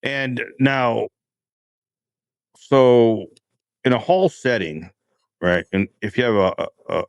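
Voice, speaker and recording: A man's voice: medium pitch, slow pace, long pauses, clear, resonant tone, no room sound. A man chatting casually and bluntly as a podcast co-host. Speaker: podcast co-host asking questions.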